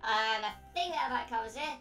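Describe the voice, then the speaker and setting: Female gaming host, high and bright, excitable commentary, echoey distant recording. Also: fairly quick, faint music behind. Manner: excitable, prone to shrieking exclamations. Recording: roomy echo, distant mic.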